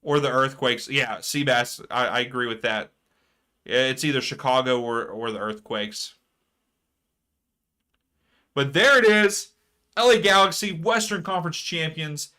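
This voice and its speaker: Hoarse man streaming a match watchalong. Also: medium pitch, bright, forceful tone. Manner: chatty, reads chat aloud, rants about fouls. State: hoarse, losing his voice. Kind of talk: casual live match commentary.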